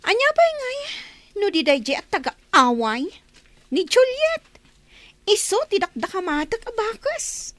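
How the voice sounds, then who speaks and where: A woman's voice: extremely high pitch, big pitch swings, measured pace, fairly bright tone, no room sound, heavily acted. A woman playing a huffy, easily offended young villager in an Ilocano comedy radio drama, indignant and sulky.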